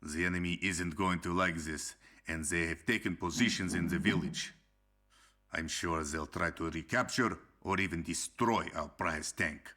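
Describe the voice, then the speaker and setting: A stern male Soviet commander from a war game. His voice is low and cutting. He delivers military briefings with dramatic resolve. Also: normal speed, pushed and bright. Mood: resolute.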